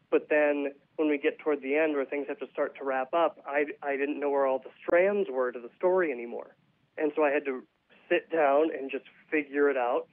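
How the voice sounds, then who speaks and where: A man being interviewed as a playwright, explaining his thoughts reflectively in a conversational way. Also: mid-range voice. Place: phone call to a radio show. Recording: tinny narrow phone line.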